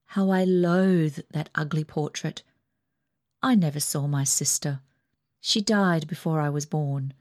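A woman's voice: low-pitched, a little mellow, dry recording, clean studio sound. A woman reading a mild, cautious father. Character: mild middle-aged father, gentle and cautious.

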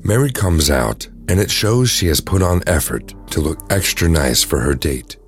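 A man's voice: a bass voice, animated, normal speed, soft background music. A man reading scripted narration for an audio drama.

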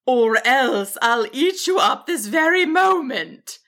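A woman voicing a proud, protective female dragon in a children's story, commanding and deliberate. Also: medium pitch, very animated, a bit bright, heavily acted. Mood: sharp, threatening. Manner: slow, stern, deliberate.

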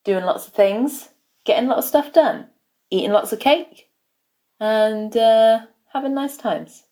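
A young woman co-hosting a podcast in casual chat, soft-spoken but quick to giggle. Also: on the high side. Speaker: podcast co-host.

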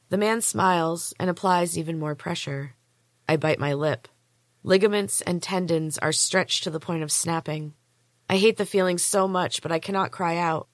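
Female audiobook narrator, lower register, close dry recording, measured suspenseful first-person storytelling. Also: dry recording. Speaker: author and podcast host reading own book.

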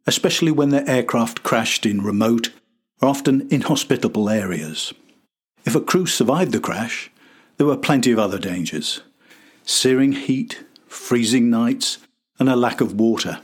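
A man's voice: mid-range voice, husky. An older man narrating a scripted history podcast as its host.

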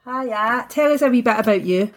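A woman's voice: fairly high pitch, animated, normal speed. Woman hosting a podcast. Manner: warm, gushing, encouraging. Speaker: podcast host. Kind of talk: podcast interview.

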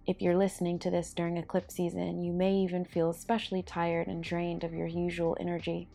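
A woman leads a guided meditation in a calm, soothing voice that sits fairly low and stays quite even. There is noticeable vocal fry in her measured delivery. Soft meditation music plays behind her. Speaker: podcast host and meditation guide.

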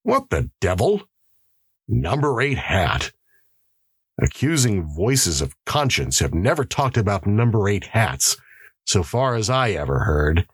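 A stern male prison warden in an audiobook, played by a male narrator, curt and probing. He sounds baffled, with a husky edge and varied pitch, recorded very dry. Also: big pitch swings, slow pace, dry recording, exaggerated voice. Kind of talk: audiobook character acting.

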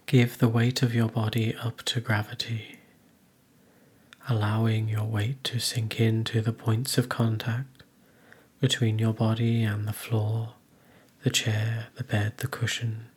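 A man guides a meditation in a mid-pitched, strongly breathy voice. He speaks very slowly and gently, leaving long pauses between measured phrases. His intonation stays flat and even throughout. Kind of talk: guided meditation, soothing instruction.

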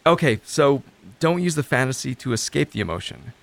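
A man acting the part of a lonely, self-doubting recovery coach in an audio drama, halting and introspective. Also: mid-range voice, animated, vocal fry, dry recording. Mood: subdued, receptive.

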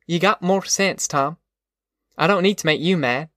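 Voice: mid-range voice, normal speed, fairly bright tone, no echo, studio quality, pitched above the performer's own voice, lightly acted. A narrator doing a tough, commanding farm mother. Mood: earnest, steady. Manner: rustic folksy dialect, blunt and demanding. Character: Ma, tough determined middle-aged farm mother, plain-spoken and commanding. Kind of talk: audiobook character voice.

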